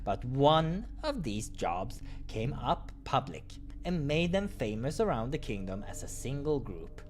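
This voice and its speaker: Performer playing dismissive animal superior, condescending, mid-pitched and measured, noisy game playthrough. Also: very animated. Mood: defensive. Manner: curt, condescending. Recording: clear background noise.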